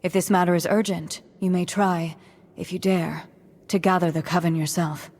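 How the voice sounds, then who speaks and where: An actress playing a composed witch of a castle coven, formal and measured, in a video game. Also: fairly low pitch, steady tone, darkish tone. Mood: formal, cool. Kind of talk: dramatic video game cutscene acting. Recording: long hall reverb.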